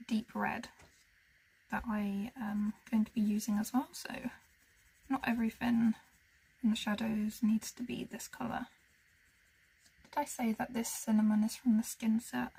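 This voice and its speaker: Woman, husky, soft-spoken, half-whispered asides, casual colouring narration. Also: fairly high pitch, flat delivery, normal speed, pauses often, low-quality recording. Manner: soft-spoken, musing, often drops to a whisper; talks to herself as she works. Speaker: colouring channel host.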